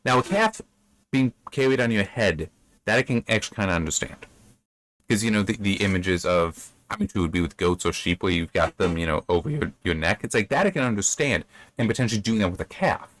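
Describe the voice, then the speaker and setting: Low-voiced man giving rambling, bemused commentary. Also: expressive, fairly bright tone. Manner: bemused, rambling, halting with repeated words. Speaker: book reviewer reading and commenting. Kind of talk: casual unscripted commentary on a story.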